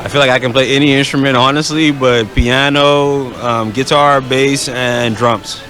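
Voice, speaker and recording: A man's voice: medium pitch, steady tone, normal speed, pushed and bright. A man from the Bahamas, a music producer and DJ, giving casual interview answers about himself.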